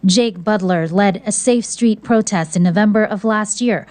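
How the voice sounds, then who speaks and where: A woman's voice: medium pitch, normal speed, a little mellow, a little hiss or hum. An American woman narrating a scripted television news report as a field reporter.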